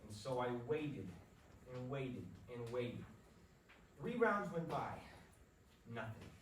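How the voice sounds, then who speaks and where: A man's voice: medium pitch, big pitch swings, slow pace. A man playing an earnest, self-doubting young baseball player in a staged play reading. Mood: dejected. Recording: roomy, distant stage recording with clear noise.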